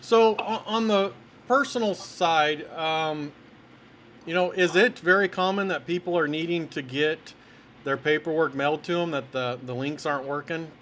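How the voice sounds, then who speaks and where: A man's voice: a little high, moderate pace, a bit bright, a little hiss or hum. A man in his fifties talking casually and unscripted as a YouTube host, hesitant and self-effacing. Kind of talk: casual unscripted talk, intro and phone interview.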